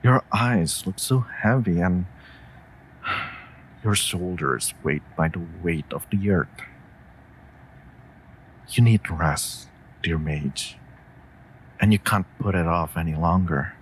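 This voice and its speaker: Guy voicing a low slow wolf familiar, protective n worried, tender audio roleplay, bit of noise behind. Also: poor audio. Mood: gently firm. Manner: tender, earnest, formal phrasing. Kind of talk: scripted audio roleplay, comforting.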